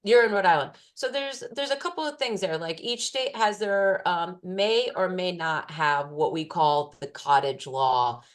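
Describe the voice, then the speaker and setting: A woman's voice: fairly low pitch, a little slow, few pauses. A woman, a food safety specialist, answering participants' questions in an online class in a casual way with many fillers.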